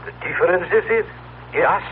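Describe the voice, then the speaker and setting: Man playing a stilted, high-pitched future humanoid, tinny radio. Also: close to an everyday voice. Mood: patient, explanatory. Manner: stilted, measured, solemn diction with deliberate pauses. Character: formal, proud, mouthless telepathic humanoid from far future. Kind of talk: old-time radio drama acting. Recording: tinny, humming, noisy radio recording.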